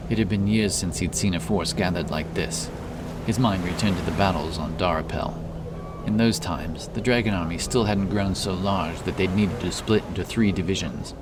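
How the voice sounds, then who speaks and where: A man's voice: low-pitched, normal speed, noisy background. A man narrating a dramatic fantasy story as an audiobook, over background ambience. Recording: clear background ambience under voice.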